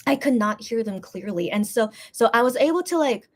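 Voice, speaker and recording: A woman's voice: fairly high pitch, animated, a little fast. A woman casually telling a story on a live stream, reading viewer comments aloud and rambling with many restarts. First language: English.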